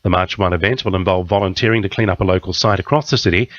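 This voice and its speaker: A man's voice: sits low, fast, fairly bright tone, lo-fi sound. A man with an Australian accent reading a scripted radio news bulletin. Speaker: news reader.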